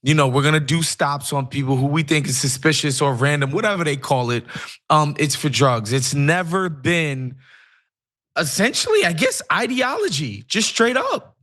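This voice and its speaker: Male co-host, casual animated commentary, fairly high voice. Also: moderate pace. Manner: animated, loose, rising into sarcasm. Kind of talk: casual political discussion.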